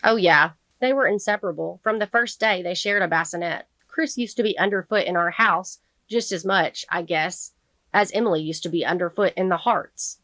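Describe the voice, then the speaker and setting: A woman reading the part of a grieving, protective middle-aged father who testifies in court in a measured, sincere audiobook manner. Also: lowish voice, a bit bright, no echo, natural voice. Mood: earnest.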